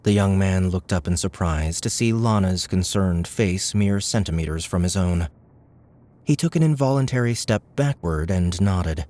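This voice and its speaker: Male narrator for a sci-fi audiobook: deep voice, scripted storytelling, measured dramatic delivery. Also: warm tone.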